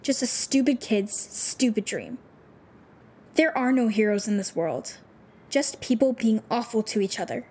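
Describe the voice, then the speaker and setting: An actress playing a troubled, disillusioned teenage runaway with superpowers in an audio drama, talking in halting, informal, youthful phrases. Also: female voice, fairly high pitch, a little mellow, husky. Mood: bitter, disillusioned.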